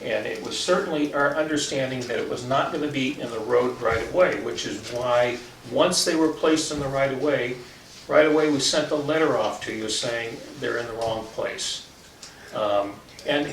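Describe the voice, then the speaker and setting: A man with a mid-pitched voice talks as a board official, choosing words haltingly but firmly. He is recorded at a distance in an echoey room, with clear noise behind him. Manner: halting, deliberate, firm. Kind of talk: public meeting discussion. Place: indoor public meeting room.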